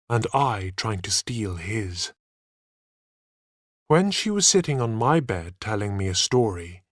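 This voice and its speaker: Slow, animated male storyteller. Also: fairly low pitch, dry recording, clean studio sound. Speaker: audiobook narrator. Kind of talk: expressive scripted story narration for learners.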